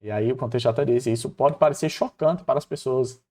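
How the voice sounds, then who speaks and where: A man with a Northeastern Brazilian accent presenting an informal devotional Bible lesson, expressive and storytelling, using rhetorical questions. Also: mid-range voice, a little fast. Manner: expressive, acts out dialogue colloquially, rhetorical questions.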